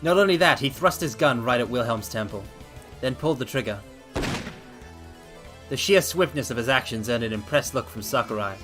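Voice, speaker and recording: Man, streamer, theatrical story reading, casual asides, medium pitch, quiet game soundtrack underneath. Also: lively intonation, moderate pace. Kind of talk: dramatic read-aloud of a visual novel with casual asides. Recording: faint game music behind voice.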